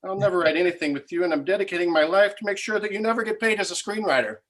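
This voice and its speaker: A man's voice: high-pitched, quick pace, a bit bright. A man playing a famous screenwriter in a comedy table read, falsely friendly and vindictive. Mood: mock-friendly, vindictive. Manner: mock-friendly, spiteful.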